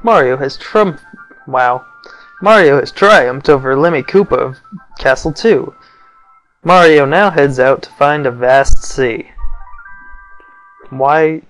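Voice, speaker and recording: Young male let's-player, unhurried, smooth clear voice, wry asides over faint game music. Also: medium pitch, fairly bright tone, poor audio. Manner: wry, self-mocking, mutters asides. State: voice feels off, maybe getting sick. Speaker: let's play commentator. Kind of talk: casual gameplay commentary.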